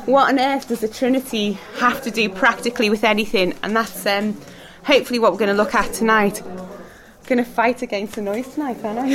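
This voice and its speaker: A woman's voice: a little high, fairly bright tone. A woman teaching a church group in a casual, chatty way, laughing often and putting questions to the room over background noise. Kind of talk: talk with group discussion.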